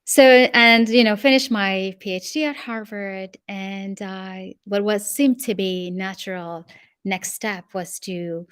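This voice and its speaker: A middle-aged woman from Morocco, a guest expert answering interview questions in a measured, explanatory way. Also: mid-range voice, steady tone, fairly bright tone, clear, resonant tone, no echo, studio quality.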